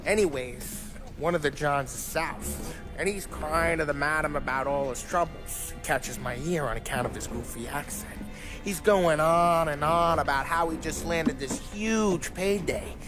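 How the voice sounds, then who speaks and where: A man's voice: a little high, unhurried, over music, lightly acted. A man playing a brash, scheming period gangster with a slangy American accent in a video game cutscene. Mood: cocky, pitching. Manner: slangy, cocky, storytelling.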